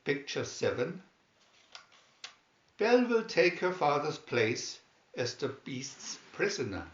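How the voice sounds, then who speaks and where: Slow, lilting man reading a storybook. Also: on the high side, sing-song, a bit bright. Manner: deliberate, announcing each caption. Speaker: narrator reading a picture-reel booklet. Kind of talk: scripted storybook narration. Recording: dull, roomy sound.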